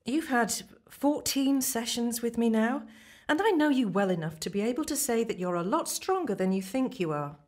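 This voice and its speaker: Woman playing an animated, upbeat therapist. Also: a little high, normal speed. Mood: earnest encouragement. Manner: earnest, reassuring. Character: upbeat, encouraging female therapist, slightly daft. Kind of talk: radio play acting.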